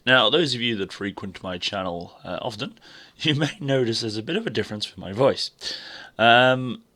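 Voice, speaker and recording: A young man with a hoarse voice, chatting casually and self-deprecatingly as he opens his game stream. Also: medium pitch, lively intonation, moderate pace, pushed and bright. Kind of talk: casual live-stream chat.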